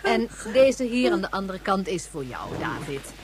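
A woman playing a calm, motherly carer of children in a Dutch science-fiction radio play. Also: mid-range voice, normal speed, a loud crowd, lightly acted. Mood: soothing.

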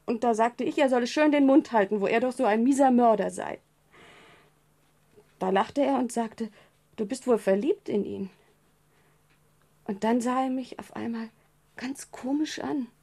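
A weak, frightened and loving young woman in a hospital bed in a 1970s German radio crime drama. Her voice is mellow and very breathy, soft in delivery. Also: on the high side, moderate pace, pauses often. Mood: anxious confiding. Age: young adult.

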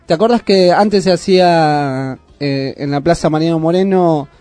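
A man with an Argentine accent hosting a casual community radio show, chatty and full of "eh" pauses. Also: a little high, darkish tone, no room sound, faint music behind. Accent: Argentine Spanish. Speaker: radio host. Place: radio studio.

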